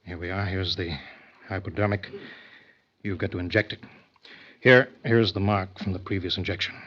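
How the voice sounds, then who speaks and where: A man's voice: low voice, steady tone, normal speed, a bit bright, breathy edge, lo-fi sound. An actor playing a callous, vengeful invisible man in an old-time radio thriller, cool and commanding, on a dated recording. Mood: urgent. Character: callous, vengeful invisible man, coolly menacing and power-hungry.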